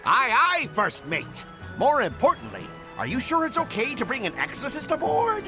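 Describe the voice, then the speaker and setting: Loyal pirate crewman, fantasy game: extremely lively intonation, excitable. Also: extremely high pitch, normal speed, faint music behind, exaggerated voice. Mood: eager, concerned. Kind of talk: English-dubbed fantasy game acting.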